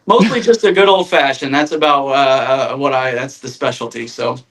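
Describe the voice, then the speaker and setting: A man's voice: mid-range voice, moderate pace, fairly bright tone, rough recording. A man in his thirties from Northern Indiana, a podcast guest talking casually and self-deprecatingly, with many fillers and restarts. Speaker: guest, RV sales manager.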